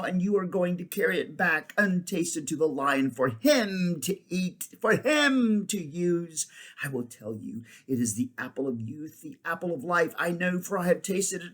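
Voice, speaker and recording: A man's voice: sits high, moderate pace, fairly bright tone, pitched above the performer's own voice. A man voicing a proud, scornful witch queen in a storybook, with a grand, commanding, coaxing manner. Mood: urgent, tempting.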